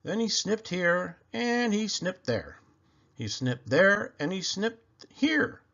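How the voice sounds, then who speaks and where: A man reading a children's picture book aloud in an expressive, animated and deliberate storytelling manner. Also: sits high, dull audio.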